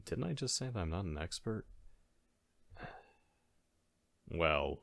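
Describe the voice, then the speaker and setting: A man playing a caring, goofy best friend in a soft-spoken ASMR audio roleplay, talking in a halting, gently chiding, conversational way. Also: sits low, very animated, creaky, dry recording, full character voice. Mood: curious, musing.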